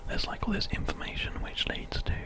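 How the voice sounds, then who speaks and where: Whispering British man, ASMR. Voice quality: breathy, unvoiced whisper. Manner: soft, gentle, unhurried rambling. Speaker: ASMR video host. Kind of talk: whispered ASMR game commentary.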